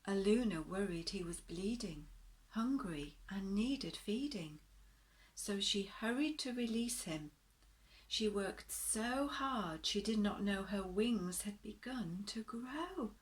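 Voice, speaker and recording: A woman reading a children's story aloud in a gentle, deliberate, expressive way, pausing to talk to her young listeners. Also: medium pitch.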